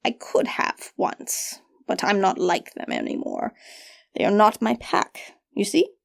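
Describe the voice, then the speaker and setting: A woman voicing a tough, weary old sorceress in a fantasy audiobook, quiet and restrained. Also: mid-range voice, wildly swooping pitch, a little slow, vocal fry, dry recording, studio quality, exaggerated voice. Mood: weary, guarded.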